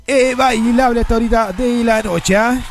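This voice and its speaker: A man's voice: very high-pitched, steady tone, normal speed, music in the background. A man with a Chilean accent hosting a live radio show with chatty, sing-song patter, his nose stuffed up from a cold. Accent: Chilean Spanish. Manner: chatty, sing-song, upbeat, with frequent tag questions.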